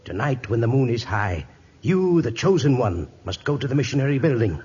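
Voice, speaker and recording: An actor playing a stern, scheming high priest of a moon god in 1950s radio style, solemn and commanding. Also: male voice, big pitch swings, moderate pace, warm tone, husky, dull audio. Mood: solemn, menacing. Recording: old radio recording, long reverb, music behind.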